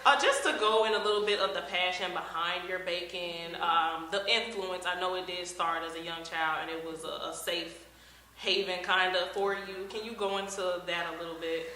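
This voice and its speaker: A woman interviewer in a casual chat who speaks brightly, laughs often and uses many fillers. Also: on the low side, steady tone, moderate pace, roomy sound, poor audio.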